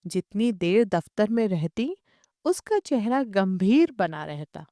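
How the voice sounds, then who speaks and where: A woman reading a literary short story as an audiobook narrator, in measured, expressive storytelling. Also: mid-range voice, dry recording, clean studio sound.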